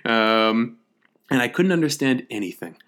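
A man's voice: mid-range voice, animated, unhurried, fairly bright tone. A man hosting a podcast, talking through a topic in a conversational, lecture-like way with frequent fillers and restarts. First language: English. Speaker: podcast host. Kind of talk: casual lecture-style podcast monologue.

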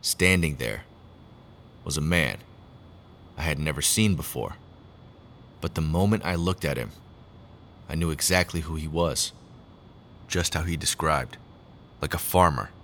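A man narrating a scripted first-person audio drama as a scientist, in a measured storytelling manner. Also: very deep voice, animated, fairly bright tone, slight background noise.

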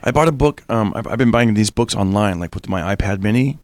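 A man with a fairly low voice chats as a guest actor. He stumbles, repeats words and talks loosely. The sound is close and dry with no room around it. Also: expressive, no room sound. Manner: halting, repeats words, casual. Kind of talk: casual chat.

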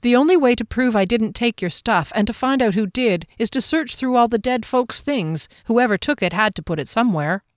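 A woman's voice: a little high, expressive, brisk, hardly any pauses, dry recording, clean studio sound, voice pushed up, natural voice. A woman reading the part of an eager, informal male adventurer in a fantasy storybook. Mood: earnest. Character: cheery, plain-spoken male fantasy adventurer and gravedigger.

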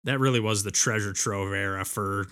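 A man's voice: lowish voice, fairly even intonation, moderate pace, bright, forceful tone. A man chatting casually about video games as a podcast host.

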